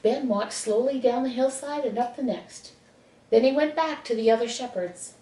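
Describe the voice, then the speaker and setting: A woman reading a children's bedtime story expressively, with dramatic pauses. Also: a little high, warm tone, slight background noise. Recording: echoey room, distant mic.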